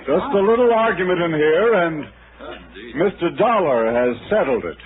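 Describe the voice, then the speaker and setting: A man's voice: high-pitched, expressive, normal speed. An actor playing a genial, sly insurance company man with a hesitant, chatty manner in a 1960s radio drama. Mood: loud, reassuring. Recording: muffled, noisy 1960s radio recording.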